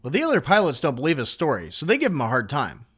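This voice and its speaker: A man recapping a film for laughs, his pitch bouncing all over the place. He drops dry, mocking asides as he tells it. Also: huge pitch swings, quick pace, a bit bright, a little hiss or hum. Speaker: comedy recap narrator. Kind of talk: sarcastic comedic film recap narration.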